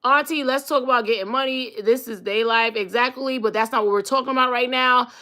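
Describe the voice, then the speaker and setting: A woman's voice: fairly high pitch, brisk, sharp tone. A woman with a New York accent hosting a live stream, talking bluntly and profanely as she reacts to viewer comments. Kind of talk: casual live-stream chat reading comments.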